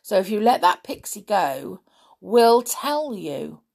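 A woman's voice: mid-range voice, very animated, takes it slowly, vocal fry, darkish tone, exaggerated voice. A woman voicing a bold, plucky young boy in a children's adventure story.

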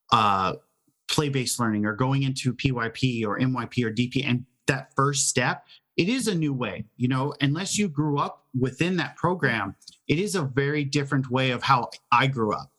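A man speaking as the host of a podcast, addressing his listeners in clear, organised phrases. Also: medium pitch, moderate pace, fairly bright tone. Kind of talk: conversational podcast hosting.

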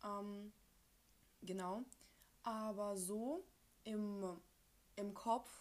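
A woman's voice: medium pitch, steady tone, drawn-out words, darkish tone. A young woman from Ukraine hosting a casual personal podcast, speaking informally with youth slang and bits of English mixed in. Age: young adult. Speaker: podcast host.

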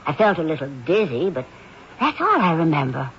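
An actress playing a bewildered film actress in a radio drama. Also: female voice, deep voice, big pitch swings, fairly quick. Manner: puzzled, bewildered. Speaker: actress character. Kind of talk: 1970s radio drama acting. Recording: dull old radio broadcast, faint hiss.

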